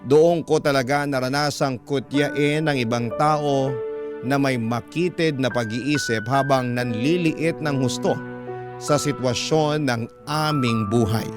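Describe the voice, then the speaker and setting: A man with a Filipino accent narrates a dramatic first-person story from a script. His voice is medium in pitch, and music plays prominently behind him throughout. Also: a little slow. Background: prominent background music.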